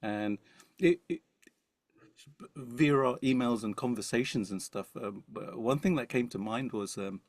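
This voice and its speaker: A man's voice: mid-range voice, measured pace, dry recording, clean studio sound. A man co-presenting a webinar over a video call, jokey and self-deprecating, rambling with many fillers. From Indian heritage. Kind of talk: joking webinar talk.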